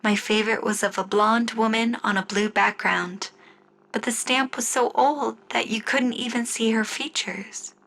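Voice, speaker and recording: Woman telling a story like old radio, lots of vocal fry, crisp bright tone, deliberate. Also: a little high, fairly even intonation. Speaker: writer and narrator of an audio story. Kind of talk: scripted first-person narration in old-time radio style.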